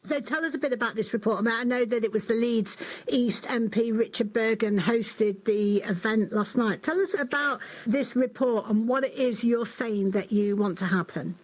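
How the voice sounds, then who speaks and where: A woman's voice: fairly high pitch, moderate pace, hardly any pauses, low-quality recording. A woman presenting a broadcast news interview as a radio host, speaking from a studio.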